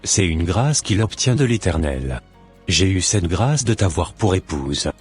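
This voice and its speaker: Deep-voiced, earnest cartoon husband played by a man. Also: very animated, measured pace, slightly rough, faint music behind, heavily acted. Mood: devoted. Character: pious, easily charmed husband, earnest and courteous. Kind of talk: scripted cartoon dialogue.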